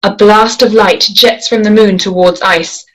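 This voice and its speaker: A woman reads a screenplay aloud at a measured pace, laying out scene directions and action lines. Her voice sits in an ordinary middle pitch. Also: steady tone, a bit bright, low-quality recording. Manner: measured, descriptive reading. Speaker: script reader. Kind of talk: screenplay table read.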